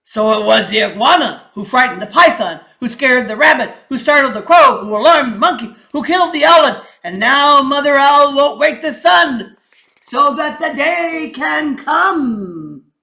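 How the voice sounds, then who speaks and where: A woman voicing a male lion king in a storybook read-aloud, loud, accusing and declamatory. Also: high voice, expressive, a little slow, creaky, pitched above the performer's own voice, heavily acted. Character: booming male lion king judging his animals.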